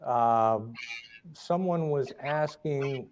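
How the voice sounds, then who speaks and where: A man at an ordinary middle pitch moderates a virtual question session. He is deferential and hesitant, with many fillers, and faint background noise can be heard under his voice. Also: drawn-out words.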